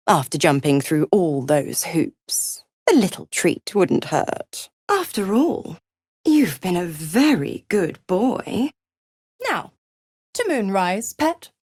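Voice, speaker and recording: A cruel, mockingly seductive devil patroness from a video game. She takes her time over each taunt, letting the pitch curl and swoop with theatrical condescension. The sound is close and dry, with no room around it. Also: darkish tone, slightly rough, no room sound, exaggerated voice. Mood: teasing, condescending, then seductive, menacing. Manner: taunting, drawling, condescending, purring endearments like "pet".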